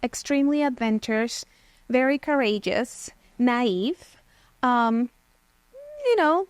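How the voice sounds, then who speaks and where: A woman's voice: on the high side, expressive, slow pace, no room sound. Reflective woman from Venezuela. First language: Spanish. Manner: thoughtful, frequent "um" and "you know". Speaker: therapist guest. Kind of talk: reflective personal storytelling.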